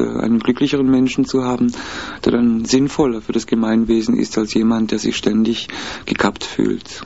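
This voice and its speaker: A low, gravelly man with a husky edge to his voice, answering interview questions in a measured, hesitant way. He speaks in a flat, even tone and pauses often to think. The recording is dull and lo-fi. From mixed German, Spanish, Italian and Peruvian roots. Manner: measured, hesitant, many fillers.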